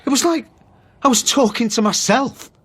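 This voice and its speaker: An actor playing a prickly, defensive and easily agitated son in a naturalistic radio drama, halting at times. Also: male voice, extremely high pitch, a bit bright, slightly rough, heavily acted. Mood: stunned.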